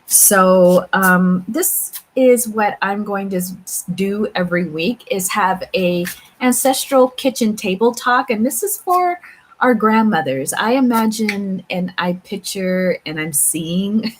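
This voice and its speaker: A woman hosting a tarot channel, talking casually and reflectively to viewers about spiritual matters, with halting pauses and repeats. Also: medium pitch, poor audio. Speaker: tarot reader and channel host. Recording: clear steady background room noise.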